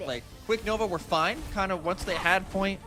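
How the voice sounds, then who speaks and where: A man's voice: high-pitched, moderate pace, music in the background. A man giving live analytical commentary on an esports match.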